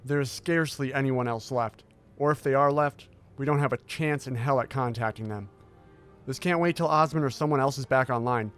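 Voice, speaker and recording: A man's voice: medium pitch, fairly bright tone, smooth, natural voice. Man acting as formal military scientist over music. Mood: urgent, firm. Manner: measured, formal, authoritative. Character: composed, determined male military intelligence scientist briefing Marines. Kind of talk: audio drama acting, briefing.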